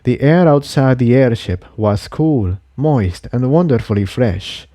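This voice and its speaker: A man reading a scripted adventure story as narrator in a measured way. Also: mid-range voice, animated, warm tone, no echo, studio quality. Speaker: audiobook reader of fan fiction.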